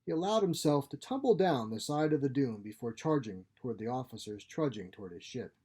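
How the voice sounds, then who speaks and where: Guy reading a sci-fi short story, mellow and kinda raspy, scripted narration. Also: mid-range voice, expressive, a little fast. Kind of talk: scripted narration, science fiction audiobook.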